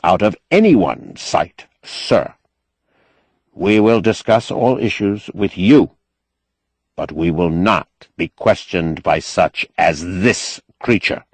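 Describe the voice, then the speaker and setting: A man's voice: low-pitched, slow pace, slightly rough, no room sound, full character voice. A man voicing a wily, indignant, eloquent doctor with pompous, ornate oratory in an audiobook. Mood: accusing, forceful. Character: wily, indignant, eloquent male doctor, master of his house. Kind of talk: audiobook character acting.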